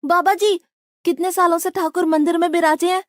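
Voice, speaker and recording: A woman's voice: very high-pitched, fairly even intonation, a little fast, dry recording, studio quality. A woman voicing a naive, blunt young male devotee in an acted Hindi devotional story. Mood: earnest, excited.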